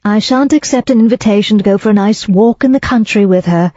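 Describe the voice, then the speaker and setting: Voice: medium pitch, fairly quick, warm tone, dry recording, pitched above the performer's own voice, lightly acted. Daisy, a chatty young lady voiced by a reader. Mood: hopeful, chatty. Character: lively young society woman, Daisy. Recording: dull lo-fi, dry.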